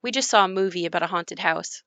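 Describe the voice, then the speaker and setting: A woman's voice: fairly low pitch, fast talker, sharp tone, no room sound. A woman playing a reflective narrator who recalls her childhood in a scripted audio play monologue. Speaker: narrator of her own memories.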